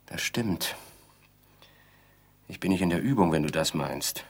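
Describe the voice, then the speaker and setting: A mild, absent-minded newlywed husband in an absurdist radio play. He speaks in a lowish, husky voice, musing and a little pompous, placidly defending himself. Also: expressive, unhurried, full character voice. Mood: mild, self-assured. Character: placid, defensive bridegroom, absent-mindedly insisting on their happiness.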